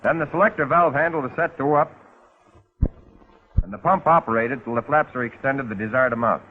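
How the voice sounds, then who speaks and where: A man's voice: mid-range voice, a little fast. Tinny 1940s American training-film narrator, male. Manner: instructional with folksy, wry asides. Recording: muffled, phone-like 1940s film soundtrack.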